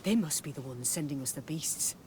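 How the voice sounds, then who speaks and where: A man's voice: on the high side, lively intonation, fairly quick, darkish tone, slight background noise, lightly acted. A man playing a hostile, suspicious colonial scout in a video game cutscene, speaking in a curt, accusatory way. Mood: grim.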